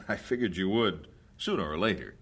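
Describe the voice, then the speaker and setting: Lively, practical missionary husband. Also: male voice, low voice, normal speed, slightly rough, exaggerated voice. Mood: reassuring. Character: steady, practical missionary husband, gently teasing. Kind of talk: radio drama acting.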